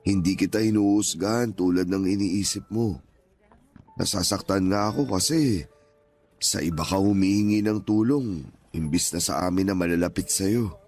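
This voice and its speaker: A man acting as a gentle, hurt older father in a radio drama, pleading earnestly and emotionally with his grown child. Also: low-pitched, moderate pace. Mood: tender, pained. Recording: music playing behind the voice.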